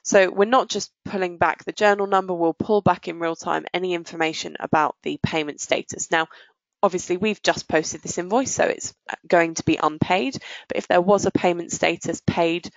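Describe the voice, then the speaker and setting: Low-pitched creaky woman giving a demo. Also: fairly even intonation, normal speed, no echo, highs cut off. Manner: methodical, explanatory. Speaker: software demonstrator. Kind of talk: walkthrough presentation of business software.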